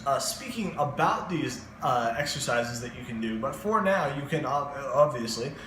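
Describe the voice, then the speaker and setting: A young man vlogging voice advice in a casual, very expressive way, with many fillers. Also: moderate pace, a little hiss or hum. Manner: very expressive, swings in pitch and loudness, many fillers. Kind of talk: casual advice monologue to camera. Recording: echoey room.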